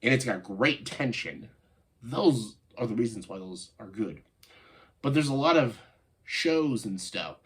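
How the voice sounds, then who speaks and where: A man talks casually and with opinion, as if to viewers of his commentary video. His voice is on the low side and rough-edged, clogged and nasal from a cold. He moves haltingly through his points, stretching the words he wants to stress and peppering them with "like". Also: fairly bright tone. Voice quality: congested, nasal voice. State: congested, stuffed-up nose after a cold. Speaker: video host, film commentator.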